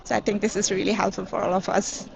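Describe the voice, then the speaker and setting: A woman's voice: medium pitch, flat delivery, quick pace, gravelly, slight background noise, poor audio. A woman from Bangladesh, now university staff, enthusiastically promoting scholarships in an interview. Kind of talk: answering interview questions. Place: busy education expo hall.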